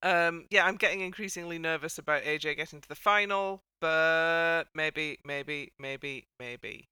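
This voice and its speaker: A woman's voice: sits low, a little slow, sharp tone, clean tone, no room sound. A woman hosting a casual panel chat in a wry, playful way. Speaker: podcast host.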